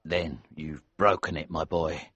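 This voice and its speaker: A man playing a vengeful smuggler and innkeeper who talks in a cold, measured way. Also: a bass voice, fairly even intonation, fairly bright tone, husky, no room sound, highs cut off, full character voice. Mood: steady, fatherly. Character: grim, vengeful older male innkeeper and smuggler. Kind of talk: audiobook character voice.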